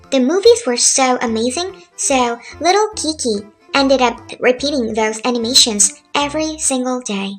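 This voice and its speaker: A woman in her thirties from South Korea, speaking English with a Korean accent, calmly narrating a chill, laid-back talk as a language tutor. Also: high voice. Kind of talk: relaxed scripted narration over drawings. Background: faint music.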